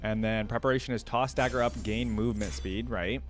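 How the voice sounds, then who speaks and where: A man with a fairly low voice gives casual reaction commentary as a video host. He talks informally, thinking aloud, with some music playing behind him. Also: fairly even intonation, fairly quick.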